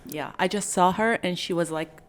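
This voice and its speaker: A woman in her late twenties co-hosting a podcast, relaxed and reflective, saying "like" often. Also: lowish voice, normal speed. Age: late 20s. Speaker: podcast co-host. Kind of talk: casual chat.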